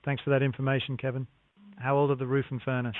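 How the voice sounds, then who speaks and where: Fast-talking male phone sales agent. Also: mid-range voice, flat delivery, dry recording. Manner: polite, formulaic acknowledgements. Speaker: real estate acquisitions phone agent. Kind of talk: scripted sales call. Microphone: phone call. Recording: narrow, tinny phone line.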